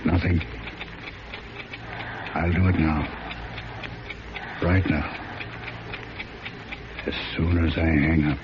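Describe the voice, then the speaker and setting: An actor playing a smooth, colloquial husband with a falsely tender air in a 1940s style radio suspense drama. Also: male voice, extremely low pitch, level tone, slow, pauses often. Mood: hushed, furtive. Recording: muffled, noisy old radio recording.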